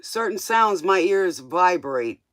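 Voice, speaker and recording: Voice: medium pitch, lively intonation, slow, fairly bright tone, no room sound. A livestream tarot host chatting casually in a rambling, informal way, drifting between thoughts and tossing out questioning asides.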